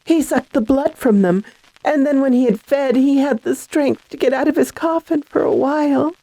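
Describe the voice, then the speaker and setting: A woman playing a frightened, dazed young heiress in a radio horror drama, with a halting, earnest way of talking. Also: high-pitched, mellow, dry recording, rough recording. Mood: halting, haunted.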